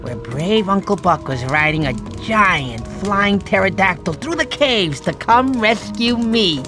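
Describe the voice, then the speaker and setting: Goofy cartoon sloth, game storyteller. Lisping, excitable, bumbling, very animated delivery over music. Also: male voice, high voice, a little slow. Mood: cheerful, narrating. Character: goofy, good-natured male cartoon sloth telling bedtime stories.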